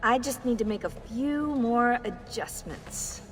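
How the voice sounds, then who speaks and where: An enthusiastic, boastful young tech expert with a fairly high voice. The sound carries a long reverb, with faint music beneath. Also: female voice, slow pace. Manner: excitable, boastful. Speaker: technician running the machine.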